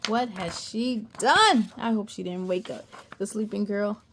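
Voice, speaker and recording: A woman reading a children's picture book aloud as a storyteller, playful and animated, with chatty asides to young listeners. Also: mid-range voice, a little slow, slight background noise.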